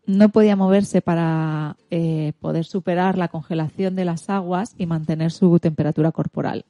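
A woman radio host with an accent from Spain tells a story for all ages. Her voice sits a little low and has a dark, mellow tone. The sound is dry and close, and her delivery is informal but scripted. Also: fairly even intonation, moderate pace, dry recording, highs cut off. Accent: Spanish from Spain.

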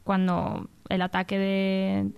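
A woman giving the news in an informal, chatty way as a radio contributor, with hesitant, halting phrasing. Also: medium pitch, completely flat delivery, gravelly, clear, resonant tone, dry recording, dull audio.

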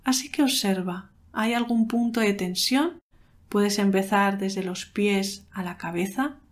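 A woman leading a guided meditation in a calm, unhurried, soothing manner with pauses. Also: medium pitch. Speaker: meditation guide, podcast host.

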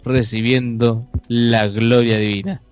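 A Chilean man improvising a mock-solemn comic story as narrator, pausing between short phrases. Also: mid-range voice, flat delivery, dull audio, soft background music. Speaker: narrator in an improv comedy show.

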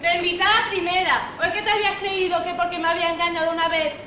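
A woman's voice: very high-pitched, level tone, fast, bright, forceful tone, a faint crowd. A woman playing a brassy, jealous spurned lover, sarcastic and scolding, in an amateur radio farce. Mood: indignant sarcasm. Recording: echoey room, faint noise.

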